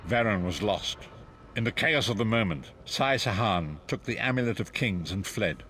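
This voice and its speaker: A man playing a grave, wise sage in a fantasy game, narrating in a solemn, measured, portentous way. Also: fairly low pitch, animated, pushed and bright, slight background noise. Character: grave, wise male sage, freed former prisoner.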